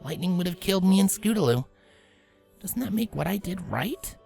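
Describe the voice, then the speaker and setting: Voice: medium pitch, animated, normal speed, creaky, mellow, faint music behind, voice pushed up. A narrator voicing a brash young pegasus mare with a casual, plain-spoken, tomboyish manner. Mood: troubled.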